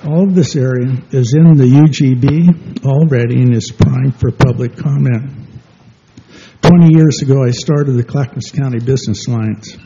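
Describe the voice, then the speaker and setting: A middle-aged man gives public comment at a civic meeting, reading steadily from his notes. His voice is very dark and mellow. The audio is dull and muffled, with a faint hiss. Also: mid-range voice, normal speed. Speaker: public commenter for a business group.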